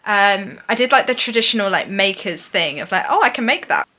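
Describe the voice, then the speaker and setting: A woman's voice: mid-range voice, expressive, a little fast, bright, forceful tone. A woman in her thirties, chatting casually and a little ramblingly as a knitting vlogger.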